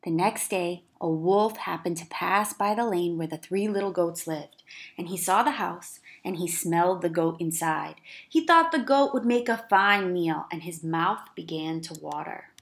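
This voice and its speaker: A woman teacher reading a children's story aloud, with expressive, careful emphasis for young listeners. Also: on the low side, measured pace. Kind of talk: children's read-aloud narration.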